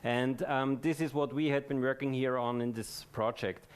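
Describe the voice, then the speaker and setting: A man's voice: medium pitch, steady tone, normal speed, clean tone. A man presenting in a live technical talk, explaining things methodically.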